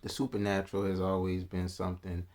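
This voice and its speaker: A man's voice: deep voice, completely flat delivery, a little fast, darkish tone. A man vlogging a casual reaction, musing aloud in a loose, slangy way with restarts and asides. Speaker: vlogger reacting to news clips.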